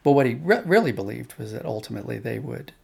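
Gravelly male interview guest, explaining. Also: medium pitch, sing-song, fast talker, a little mellow. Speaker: interview guest, audio drama producer. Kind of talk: thoughtful conversational interview.

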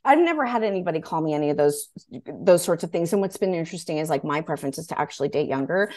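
A woman in her forties with a somewhat low voice hosts a relaxed conversation. She talks informally and candidly, putting emphasis on her points. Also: quick pace. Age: 40s. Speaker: podcast host. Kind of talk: casual chat.

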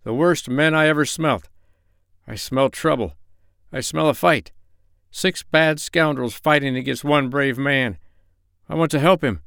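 Man as a loyal storybook dog, gruff, growling, mumbled, earnest, dry sound. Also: fairly high pitch, unhurried, long pauses, a bit bright, dry recording, voice pushed up. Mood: growling, agitated.

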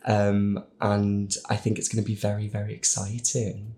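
A man's voice: lowish voice, completely flat delivery, moderate pace, mellow. A man chatting casually on a panel, hesitant, self-deprecating and prone to giggles. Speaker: voice actor on a Q&A panel. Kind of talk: casual group chat.

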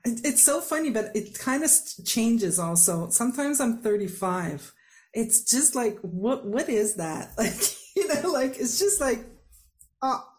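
A woman's voice: a little high, sing-song, a little slow, a little mellow. A woman in her fifties hosting a podcast. She chats casually with a guest over a video call and laughs often. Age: 50s. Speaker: podcast host. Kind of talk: casual chat.

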